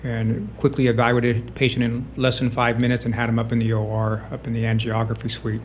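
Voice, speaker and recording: A man's voice: medium pitch, a little fast, slight background noise. A man from California, a doctor guest on a radio show, speaking in a matter-of-fact way. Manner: matter-of-fact, even delivery.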